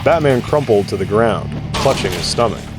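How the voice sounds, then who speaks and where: A man's voice: lowish voice, expressive, moderate pace, music in the background. A man narrating an audio drama in a scripted storytelling style.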